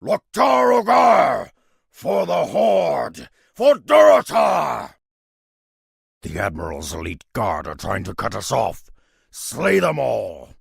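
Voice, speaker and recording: A man voicing a grim, loyal half-ogre beastmaster in a fantasy video game, with a gravelly growl and solemn, terse military speech. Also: mid-range voice, big pitch swings, takes it slowly, no room sound, raised voice, studio quality, full character voice. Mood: fierce, commanding.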